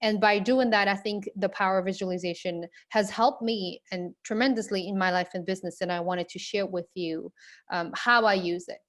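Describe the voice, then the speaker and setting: A woman's voice: mid-range voice, steady tone, a little fast, a bit bright. A woman from Morocco, an online business coach, giving a live online class in an earnest way with frequent fillers and restarts.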